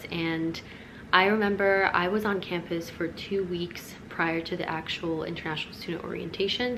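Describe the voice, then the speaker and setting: A woman in her twenties from the Czech Republic, telling a rambling, chatty story as a vlogger. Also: on the low side. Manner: chatty, rambling with fillers and restarts. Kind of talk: casual storytime. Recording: steady background noise.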